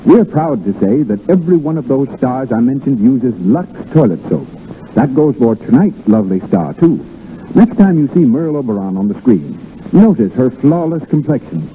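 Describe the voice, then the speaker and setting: A man's voice: mid-range voice, very animated, normal speed. A man announcing a commercial in a scripted 1930s radio advertising style. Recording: tinny 1930s radio recording with hiss.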